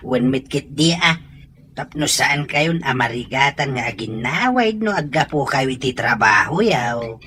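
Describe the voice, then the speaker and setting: A woman playing a hearty, doting old father in an expressive radio-style drama performance. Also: rumbling low, unhurried, a little hiss or hum, exaggerated voice. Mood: jovial, reassuring.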